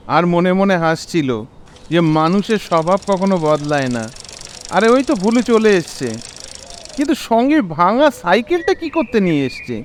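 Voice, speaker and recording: A man with a Bengali accent narrating a cartoon story in a dramatic storytelling style. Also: high-pitched. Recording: clear background noise.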